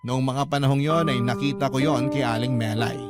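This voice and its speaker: A man reading a first-person letter as a radio storyteller, earnest and expressive. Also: medium pitch, fairly quick. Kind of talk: scripted first-person narration of a listener's letter. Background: loud music.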